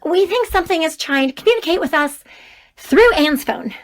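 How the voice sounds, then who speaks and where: A woman's voice: extremely high pitch, lively intonation, moderate pace. An actress playing an earnest, anxious woman college professor in an audio drama, often sounding protesting.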